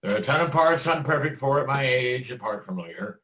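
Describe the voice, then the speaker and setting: An actor playing an aging veteran stage actor, wry, profane and theatrical. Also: male voice, medium pitch, animated, brisk, a bit bright, natural voice. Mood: grumbling. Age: older. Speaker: stage actor in a play reading. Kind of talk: stage play reading.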